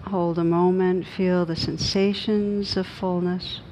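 A woman meditation teacher leading a guided meditation in a gentle, soothing, unhurried manner with long pauses. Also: flat delivery. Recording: noisy recording with low rumble.

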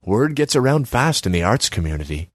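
Man voicing haughty young female artist, high, preachy, dry close sound. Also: big pitch swings, brisk, no echo. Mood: haughty lecturing. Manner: scornful, lecturing, self-important.

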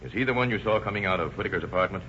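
An American actor playing a terse, authoritative police lieutenant in an old-time radio crime drama. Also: male voice, low voice, racing, bright, forceful tone. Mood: businesslike. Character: brisk, no-nonsense male police lieutenant leading a murder investigation. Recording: muffled lo-fi old radio recording, faint noise.

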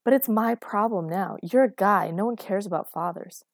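A woman's voice: medium pitch, normal speed, no echo, studio quality, close to an everyday voice. A woman voicing a bitter, scornful mother in her thirties who berates and cuts people down in audiobook dialogue. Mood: bitter, accusing. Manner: berating, cutting.

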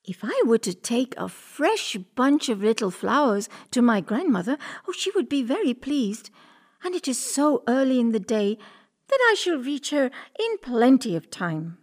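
Woman playing a sweet little storybook girl, high voice, innocent, polite, wide-eyed, lively intonation. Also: normal speed. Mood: cheerful.

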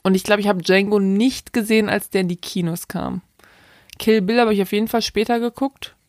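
A woman's voice: mid-range voice, fairly even intonation, brisk, darkish tone, dry recording, studio quality. A young adult woman co-hosting a casual film chat, enthusiastic and slangy, laughing often. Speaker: podcast co-host.

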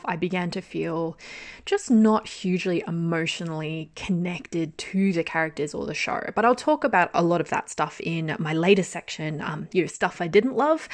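Young woman, lower register, casual rambling reviewer with self-aware laughs. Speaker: drama reviewer.

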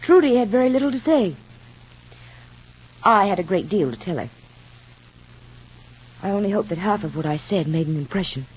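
A woman's voice: mid-range voice, animated, normal speed, pauses often, breathy edge. An actress playing an anxious, devoted mother in an old radio soap opera, earnest and brooding. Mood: earnest, defensive. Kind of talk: 1940s-50s radio soap opera acting. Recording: muffled, dull old radio recording.

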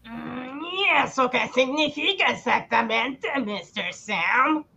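Mujer haciendo de ministro escéptico, voz muy aguda. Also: female voice, high voice, animated, slow pace, gravelly, pushed and bright, husky, highs cut off, full character voice. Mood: wry, blunt. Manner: questioning, pointed. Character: skeptical, probing government minister of a poor country. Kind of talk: satirical radio drama acting.

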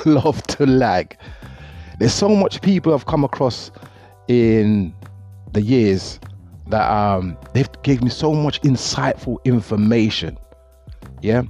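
A man's voice: mid-range voice, dark tone. A middle-aged man, earnestly giving advice in a reflective monologue, often repeating words as he talks. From Jamaica. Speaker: motivational speaker. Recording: dull lo-fi audio with faint music.

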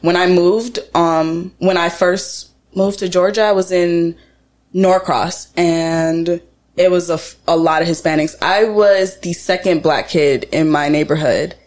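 Low-voiced woman in casual chat. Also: steady tone, measured pace, a little mellow. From Jamaica. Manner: laughs often, swears casually. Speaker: podcast host.

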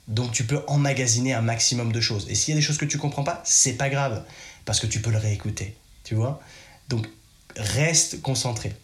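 A man's voice: mid-range voice, roomy sound. A French man giving casual advice in a chatty, direct way, speaking straight to the listener. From France. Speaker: podcast host.

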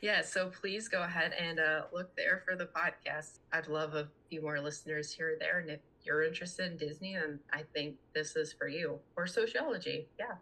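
Young female podcast guest, low-pitched with a raspy edge, earnest, conversational delivery. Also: steady tone, normal speed, hardly any pauses, sharp tone, low-quality recording. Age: young adult. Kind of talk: casual conversational interview talk.

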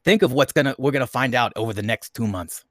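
A man's voice: mid-range voice, fast talker, a bit bright, no echo, studio quality. A man co-hosting a podcast in casual chat, rambling and often circling back to repeat himself. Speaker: podcast co-host.

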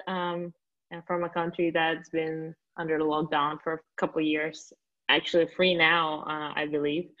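Russian-accented woman, low voice, dull sound. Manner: relaxed, storytelling with fillers. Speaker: guest, company founder. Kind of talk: interview answers.

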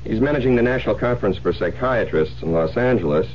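Old radio play, dull sound, actor doing husband w deep voice, chatty but needling and probing. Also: male voice, animated, quick pace, close to an everyday voice. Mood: casual, suspicious. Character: smooth-talking, probing psychiatrist husband Tom, quietly menacing. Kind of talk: old-time radio drama acting. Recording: muffled vintage radio recording, humming hiss.

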